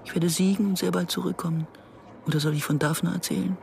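A woman's voice: fairly low pitch, steady tone, fast, gravelly, darkish tone, a little hiss or hum. An actress playing an anxious, introspective woman who narrates a radio drama in the first person. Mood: reflective. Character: anxious, introspective Israeli woman recalling her past. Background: music.

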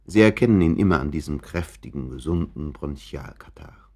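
A man's voice: a bass voice, lively intonation, moderate pace, noisy background. A man playing a chatty, confiding narrator in a radio play, musing and interrupting himself with asides. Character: chatty, confiding male narrator showing listeners around an apartment.

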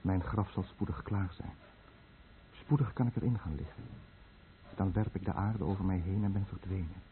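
Man playing a doomed fisherman confessing in a radio play, low bitter brooding voice, tinny old recording. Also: normal speed, close to an everyday voice. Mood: bitter remorse. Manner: brooding, bitter, confessional monologue addressed to the listener. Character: dying, guilt-ridden Japanese fisherman, last survivor of his village. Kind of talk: Dutch-language radio drama narration. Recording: muffled narrow-band old radio recording, faint noise.

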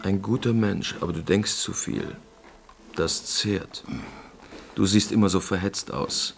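A man's voice: sits low, measured pace, gravelly, a little hiss or hum. An actor playing a pompous, anxious army captain who moralizes and dwells on his words in a theatrical radio drama. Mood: drained, patronizing.

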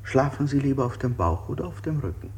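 An actor playing an unsettling interrogator in a German radio play, putting insistent, probing questions. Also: male voice, medium pitch, sing-song, quick pace, darkish tone, natural voice. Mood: probing, menacing. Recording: hissy 1960s radio play recording.

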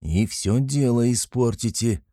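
A man's voice: medium pitch, unhurried, mellow, no room sound, studio quality, pitched above the performer's own voice, natural voice. A man voicing a tipsy, hot-headed and talkative young man in an audiobook, a loyal friend with a blustering, emphatic manner. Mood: urgent, hushed.

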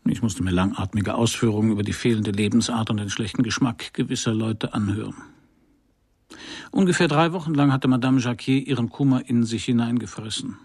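An actor playing a laconic, courteous Parisian private detective in a radio crime drama, speaking and narrating with a dry, gravelly, husky voice. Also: male voice, mid-range voice, fairly quick, darkish tone, no room sound. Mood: dry, wry. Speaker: detective and first-person narrator.

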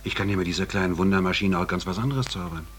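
An actor playing a slick, boastful sound technician in conversational radio drama dialogue. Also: male voice, low voice, quick pace, fairly bright tone. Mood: breezy, boastful. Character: slick, boastful male sound technician showing off his machine.

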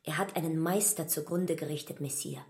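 An actress playing a frank, spirited woman in a radio play, with a husky voice and a direct manner. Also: low-pitched, brisk, darkish tone. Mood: vengeful.

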